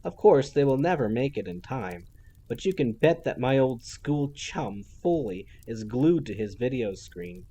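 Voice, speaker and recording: A man's voice: mid-range voice, animated, unhurried, warm tone, breathy edge. A man voicing a snide, childlike female villain who mocks and gloats in a theatrical sing-song. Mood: mocking.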